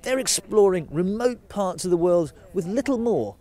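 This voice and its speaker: A man narrating a report in a deliberate, expressive way. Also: sits high. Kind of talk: scripted narration.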